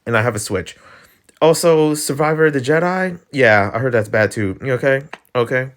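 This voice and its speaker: An American man with an East Coast accent, talking in a loose, casual rant for his channel. He rambles off on tangents, trips over his words and restarts them, and laughs as he goes. His voice sits at a middling pitch. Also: normal speed. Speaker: channel host.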